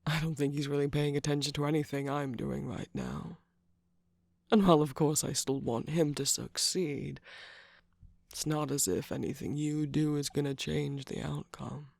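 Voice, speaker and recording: A man voicing a smug, teasing villain's henchman in a romantic ASMR roleplay, breathy and gravelly, drawling and dropping into whispers close to the mic. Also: on the high side, moderate pace, darkish tone, no echo. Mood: coaxing. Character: teasing, jaded, smug male villain's minion cookie. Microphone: close microphone, dry sound.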